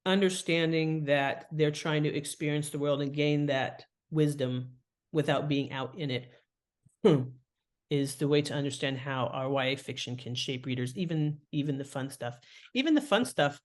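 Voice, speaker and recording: A woman's voice: low-pitched, normal speed. Woman explaining in conversational, instructive style. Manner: instructive, illustrates points with examples. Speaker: guest author and writing teacher.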